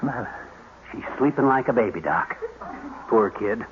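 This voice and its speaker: A man playing a boastful, bumbling, excitable husband in a 1950s radio comedy, talking in a blustery way. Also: mid-range voice, unhurried, lightly acted. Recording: dull, hissy old radio broadcast recording.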